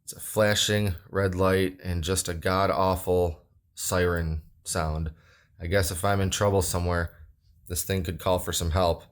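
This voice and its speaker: A man with a low-pitched voice talks through a product review casually. His delivery is offhand and plain-spoken, with some hesitation as he goes. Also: level tone, a little slow, a little hiss or hum. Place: indoors at a wooden table.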